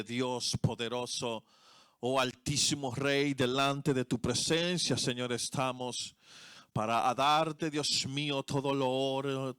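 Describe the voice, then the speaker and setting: A Dominican-accented man delivering a sermon at an unhurried, measured pace. His voice is smooth and resonant, caught close and dry with almost no room sound. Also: mid-range voice, a bit bright, no echo. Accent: Dominican Spanish. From Dominican Republic. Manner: slow, deliberate preaching cadence with pauses. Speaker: co-pastor. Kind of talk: sermon and congregational prayer. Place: church service.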